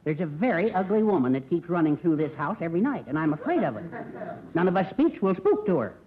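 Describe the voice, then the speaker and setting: Fast-talking, high-voiced peevish comic ghost. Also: male voice, sing-song, slightly rough, exaggerated voice. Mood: plaintive. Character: timid, peevish, slangy comic ghost in haunted house. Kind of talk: 1940s radio comedy acting. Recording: very noisy, muffled 1940s radio recording.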